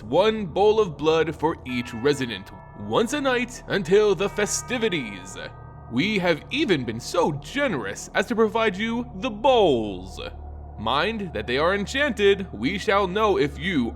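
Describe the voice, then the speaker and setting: A man's voice: a little high, fairly bright tone, heavily acted. Man voicing a pompous, menacing vampire over music. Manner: pompous, mock-courteous, menacing. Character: haughty, condescending vampire envoy reading out a blood-tithe decree. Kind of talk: dramatic fantasy story reading.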